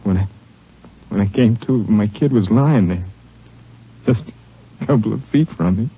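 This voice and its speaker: A weak, husky wounded deputy. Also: male voice, lowish voice. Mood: grieving, pleading. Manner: halting, weak, pleading. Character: gravely wounded deputy, grieving father of a teenage boy. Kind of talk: old-time radio drama acting. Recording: dull vintage radio recording.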